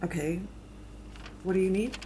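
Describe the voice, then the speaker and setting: A clinical, matter-of-fact medical examiner in an audio drama, played by a woman. Her voice is notably deep for a woman, and she delivers findings in a dry, measured way. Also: noisy background, natural voice.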